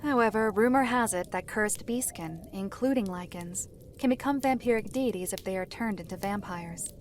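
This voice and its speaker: A woman playing a composed storyteller of dark lore, measured, formal and authoritative, in an audio drama. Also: medium pitch, a little hiss or hum, close to an everyday voice.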